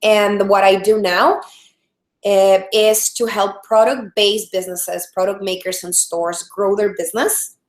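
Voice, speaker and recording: A woman's voice: medium pitch, fairly even intonation, measured pace, slight background noise. A woman with a Salvadoran Spanish accent and a mid-range voice leads a live online training. She talks in a friendly way and often restarts her sentences. Her voice carries a noticeable room echo. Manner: friendly, many fillers and restarts. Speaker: product strategist, webinar host. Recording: roomy echo.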